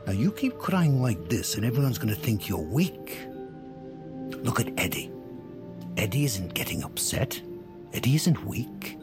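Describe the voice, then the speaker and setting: A man's voice: fairly low pitch, very animated, measured pace, long pauses, over music. An actor playing a firm, demanding father in an audio drama, talking to children in a didactic, coaxing way. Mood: stern, shaming. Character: firm, demanding father lecturing his young twin sons.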